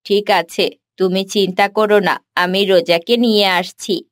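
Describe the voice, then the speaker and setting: Woman as a firm, lower-pitched older brother. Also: steady tone, unhurried, no echo, studio quality, close to an everyday voice. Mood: reassuring. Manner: firm, admonishing. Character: stern, protective older brother. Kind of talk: children's moral cartoon dialogue.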